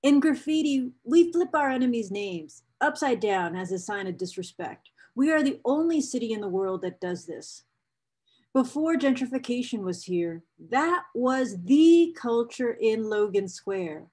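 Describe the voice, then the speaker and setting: A woman reads aloud in a somewhat high voice at a markedly slow pace. She is enthusiastic and invested, repeats phrases for effect, and breaks off for casual asides to her viewers. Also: expressive, mellow. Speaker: presenter reading a book excerpt aloud.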